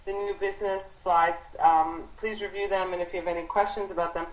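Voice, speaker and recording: A woman leading a team conference call as an instructor, explaining business matters in a halting, encouraging way as she thinks aloud. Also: fairly low pitch, steady tone. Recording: roomy conference call audio.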